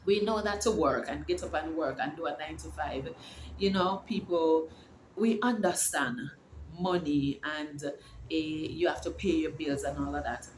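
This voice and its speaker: A woman's voice: sits low, moderate pace, slight background noise. A middle-aged woman vlogging from home, talking in a casual, encouraging, preachy way and rambling with restarts. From Jamaica. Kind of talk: casual faith-filled vlog. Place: her home. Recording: echoey room with faint ambience.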